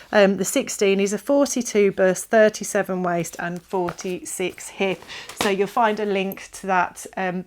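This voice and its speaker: A woman chatting casually and enthusiastically to viewers as she presents a product review video. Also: mid-range voice. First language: English. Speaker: presenter of a sewing shop's pattern review video.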